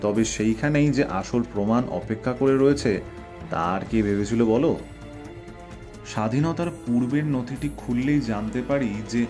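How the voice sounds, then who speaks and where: Man acting a shrewd detective, mid-pitched, probing, theatrical, audio drama, strong background music. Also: close to an everyday voice. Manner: deliberate, theatrical, formal and probing. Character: shrewd, self-assured male Bengali detective unmasking a culprit. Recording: loud background music under voice.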